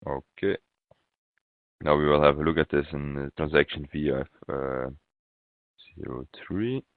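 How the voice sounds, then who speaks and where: Phone-like audio, male software trainer, extremely low voice, halting step-by-step webinar walkthrough. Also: creaky, dry recording. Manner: halting, pausing mid-phrase. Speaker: software consultant presenting a webinar demo.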